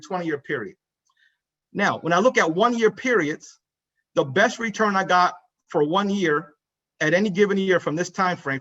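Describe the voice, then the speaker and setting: High-voiced man in his forties presenting. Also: a bit bright, dull audio. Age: 40s. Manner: emphatic, repeats key points, addresses audience directly. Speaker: financial advisor. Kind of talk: conference presentation. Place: conference room.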